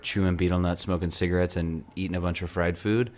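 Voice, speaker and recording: A man's voice: sits low, flat delivery, fast, background noise. A young man hosting a podcast in casual chat, rambling with lots of restarts and filler, and losing his voice. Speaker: podcast host. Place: apartment beside a busy night market.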